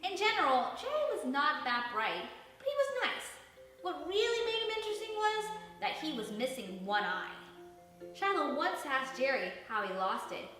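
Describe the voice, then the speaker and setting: A woman narrating a children's storybook in an expressive, animated, dramatic way. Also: high-pitched, normal speed. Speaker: storybook reader. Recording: echoey room, distant mic, faint music.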